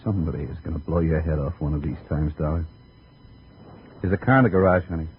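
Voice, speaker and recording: An American actor playing a blunt, protective, menacing brother in a 1950s radio crime drama. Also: male voice, extremely low pitch, quick pace. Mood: grudging, menacing. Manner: blunt, hard-boiled. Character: gruff, protective, menacing tough-guy brother. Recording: tinny, noisy old radio recording.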